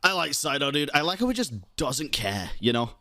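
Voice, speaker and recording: A man's voice: on the high side, sing-song, fairly quick, pushed and bright, no echo, clean studio sound. A young man giving casual, slangy, sweary commentary as he streams and reacts to a game.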